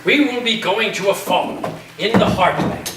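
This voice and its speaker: A man acts out an eccentric male professor with a high-set voice and a slow, grandiose, dreamy way of telling things. The delivery is theatrical, as in a staged play reading. It is caught from a distance in a roomy space. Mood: grandly welcoming. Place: echoey room at a live staged reading. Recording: roomy, distant mic, faint noise.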